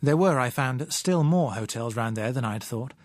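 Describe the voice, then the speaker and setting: A man's voice: medium pitch, expressive, moderate pace, no room sound. British man narrating a novel, dry studio sound. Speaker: audiobook narrator. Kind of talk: dramatic scripted novel narration.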